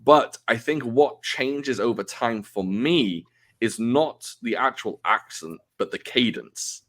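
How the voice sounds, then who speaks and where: A man's voice: medium pitch, expressive, slow, a bit bright. A man from North Wales with a British accent that sounds more English than Welsh, chatting casually and repeating words as he explains. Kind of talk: casual chat.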